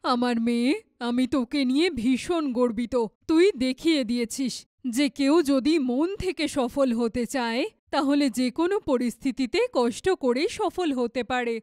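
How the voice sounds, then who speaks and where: Casting: woman as caring cartoon mother, high voice, earnest and emphatic, close dry sound. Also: measured pace, a little mellow, dry recording. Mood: proud. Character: devoted, proud, caring mother of three daughters. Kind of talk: dramatized children's moral story.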